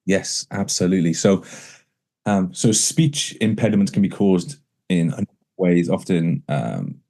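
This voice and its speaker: Low-voiced man who stammers. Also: normal speed, warm tone. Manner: stammers, with repeated words and restarts. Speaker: pastor. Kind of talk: interview conversation.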